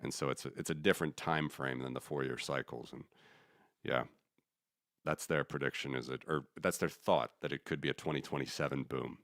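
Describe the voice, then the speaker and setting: A man's voice: extremely low pitch, steady tone, a little fast, fairly bright tone, dry recording, studio quality. A man who grew up in the United States, hosting a casual economics podcast and thinking aloud in a rambling, opinionated way with many restarts. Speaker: podcast host.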